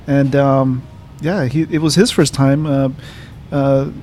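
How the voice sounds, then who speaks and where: A man's voice: mid-range voice, fairly even intonation, slow, mellow, soft background music. A man hosting a podcast, introducing guests casually with frequent uhs and ums. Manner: hesitant, many uhs and ums. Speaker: podcast host. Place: comics shop cafe. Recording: faint ambient noise in a cafe.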